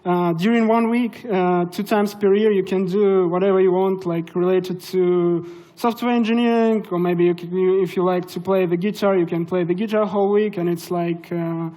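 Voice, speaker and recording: A man's voice: sits high, fairly even intonation, moderate pace, few pauses, dark tone. Young man with a Russian accent, casual and hesitant, presenting at a tech conference. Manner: casual, hesitant, many fillers. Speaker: conference speaker. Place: conference hall.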